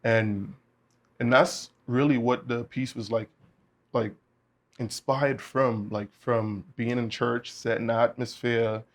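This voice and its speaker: A young man from the Bahamas, a guest musician talking in a relaxed way, with many fillers. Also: mid-range voice, takes it slowly. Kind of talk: casual interview chat.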